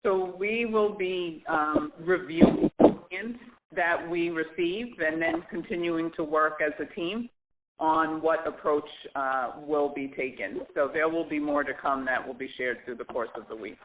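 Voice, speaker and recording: Higher-voiced male official, noisy phone line. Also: a little slow. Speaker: official answering reporters. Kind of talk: measured formal answer. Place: on a conference call.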